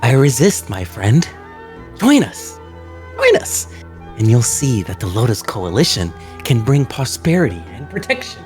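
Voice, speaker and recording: A man playing a confident, sinister kung-fu military commander in a radio drama, speaking in a measured, persuasive and smug way. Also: mid-range voice, huge pitch swings, darkish tone, faint music behind. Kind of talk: radio drama villain speeches.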